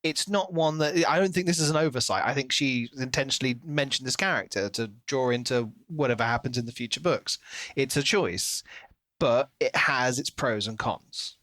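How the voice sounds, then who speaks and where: A man's voice: mid-range voice, normal speed, sharp tone, no echo. Man chatting analytically, close dry sound. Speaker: podcast co-host discussing books. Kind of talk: casual analytical chat.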